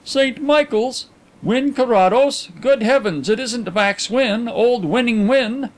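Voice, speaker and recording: An excitable, proud gentleman, played by a male narrator, pitched high and swinging widely. He is touchy and emphatic, with a stiff formality, like a character in a storybook reading. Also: normal speed. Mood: astonished. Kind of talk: audiobook character acting.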